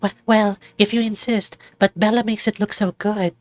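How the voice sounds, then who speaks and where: A bashful young moth-creature woman as put on by a reader speaks in a raised, high voice. She stammers and apologizes softly through a visual novel scene, easily flustered and eager to please. The sound is close and dry. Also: level tone, moderate pace, dry recording, lightly acted. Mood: hesitant, polite. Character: shy, flustered young female moth-winged sweetheart.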